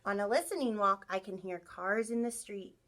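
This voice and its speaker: A woman teacher reading a picture book aloud to young children in an expressive storytelling style, acting out sound effects. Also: medium pitch, moderate pace, a little hiss or hum. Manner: animated, acts out sound effects, asks listeners questions.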